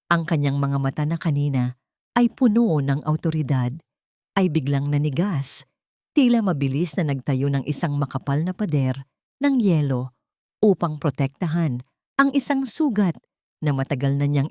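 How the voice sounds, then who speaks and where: A woman's voice: low-pitched, warm tone, dry recording, clean studio sound. A woman with a Filipino accent reading a scripted dramatic audio story as its narrator.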